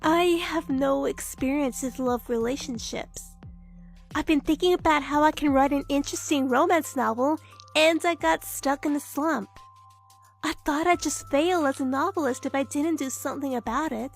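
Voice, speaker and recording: A woman's voice: high voice, normal speed. A woman playing a shy, earnest young novelist in love in an anime-style dub, speaking in a sweet, apologetic, emotive way. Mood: confessing. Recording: music bed behind the voice.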